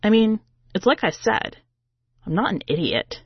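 A woman's voice: medium pitch, lively intonation, moderate pace, husky, no echo, muffled recording. A woman reading a first-person story as a podcast host, in a colloquial, streetwise way.

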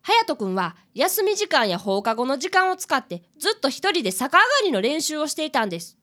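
A woman's voice: high-pitched, big pitch swings, brisk, fairly bright tone. A woman in a radio drama voicing an earnest fifth-grade boy detective who reports politely and formally. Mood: earnest, serious.

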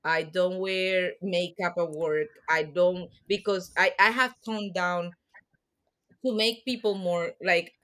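A woman from Colombia, a podcast guest telling stories in a lively way and mixing Spanish and English. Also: fairly low pitch, takes it slowly. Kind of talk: casual interview chat.

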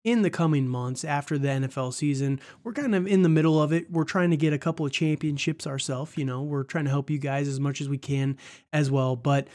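A man's voice: on the high side, brisk, darkish tone. Stuffy-nosed man hosting a podcast. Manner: rambling, chatty, laughs often. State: stuffed up with a cold. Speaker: podcast host. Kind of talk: casual podcast chat.

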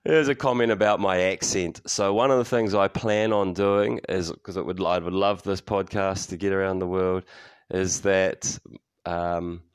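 Deep-voiced New Zealand man, candid podcaster. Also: fairly even intonation, a little slow, clean tone, dry recording, studio quality. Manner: candid, rambling, swears freely, many fillers and restarts. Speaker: podcast host. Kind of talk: casual solo podcast monologue.

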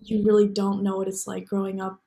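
A woman's voice: medium pitch, completely flat delivery, fairly quick, creaky, dark tone. A young American woman talking in a casual interview, rambling and filling her speech with "like" and "you know.". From United States. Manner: rambling, heavy use of "like". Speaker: guest.

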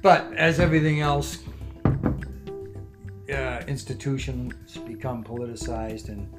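A man, reminiscing as an interview guest in halting, reflective phrases. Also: medium pitch. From South Boston, USA. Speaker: interview guest, former union president. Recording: music playing behind the voice.

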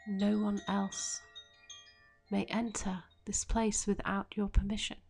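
A woman guides a meditation very slowly, leaving long, gentle pauses. Her delivery is even and soothing, with little melodic movement and soft, breathy phrase endings that settle into a creaky fry. Faint music with chimes sits behind her. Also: medium pitch.

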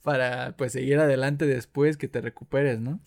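A man's voice: mid-range voice, steady tone, moderate pace, vocal fry. Joking young Mexican man asking questions. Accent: Mexican Spanish. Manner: joking, asks questions, laughs a lot. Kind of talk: casual chat.

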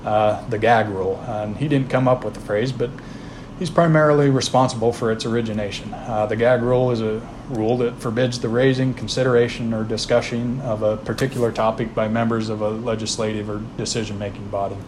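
A man hosting a podcast, talking through history in a plain, unhurried way with frequent "uh" fillers and restarts. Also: medium pitch, steady tone, noisy background. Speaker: podcast host. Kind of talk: informal history talk.

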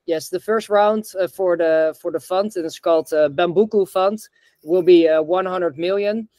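Man from the Netherlands, podcast guest, halting delivery, full of uhs, high pitch, dry room. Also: mellow, no room sound. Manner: hesitant, many filler sounds and restarts. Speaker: guest, bamboo reforestation founder. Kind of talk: podcast interview.

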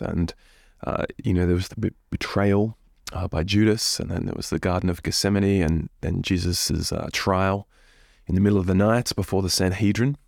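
A man with a head cold and a hoarse voice, leading prayers and giving an earnest, unhurried reflection as a church minister. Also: low-pitched, darkish tone, no echo, clean studio sound.

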